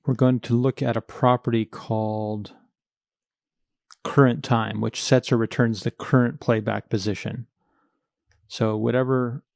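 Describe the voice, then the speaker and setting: A man's voice: mid-range voice, creaky, darkish tone, no echo, studio quality. A man narrating a step-by-step coding tutorial, informally thinking aloud as he works. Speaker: programming tutorial instructor.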